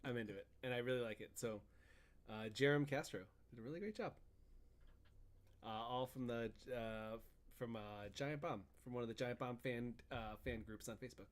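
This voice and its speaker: A man's voice: mid-range voice, normal speed. A man co-hosting a podcast in casual chat, playful and teasing. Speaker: podcast co-host.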